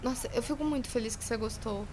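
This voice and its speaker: A woman's voice: a little high, quick pace, darkish tone, a little hiss or hum, lightly acted. A Brazilian woman playing a bubbly, self-questioning young professional in an audio drama. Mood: grateful, friendly. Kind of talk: audio drama dialogue and monologue.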